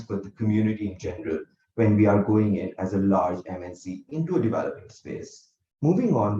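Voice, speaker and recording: A man's voice: lowish voice, dark tone. A young male student giving an earnest, formal presentation over a shared microphone on a video call. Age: young adult. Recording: muffled, roomy, distant from shared mic.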